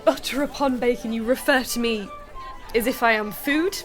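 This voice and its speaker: A woman's voice: a little high, normal speed, over music, lightly acted. An actress playing a sheltered, proper young Victorian lady with an upper-class English accent, formal and hesitant, in a period radio drama. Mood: affronted.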